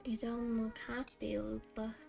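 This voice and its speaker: Female game streamer, slightly high voice, slow casual musing, light music bed. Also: completely flat delivery, vocal fry. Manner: musing, reacting aloud in short remarks. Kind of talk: casual livestream game commentary.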